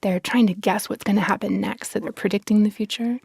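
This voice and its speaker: A woman, a podcast guest explaining science in an expressive, articulate, conversational way. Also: mid-range voice, brisk, gravelly, husky, no echo, studio quality.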